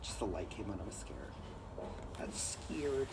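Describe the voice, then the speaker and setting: A man's voice: on the low side, lively intonation, normal speed, noisy background. A man co-hosting a podcast in casual chat, rambling and jokey with lots of fillers. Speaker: podcast co-host.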